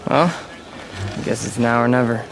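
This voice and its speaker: A man acting in a radio drama as a nervous, fanatical would-be demagogue with a halting, insistent delivery. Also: mid-range voice, gravelly, music in the background, lightly acted, low-quality recording. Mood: uneasy. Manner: stammering, halting, then ranting.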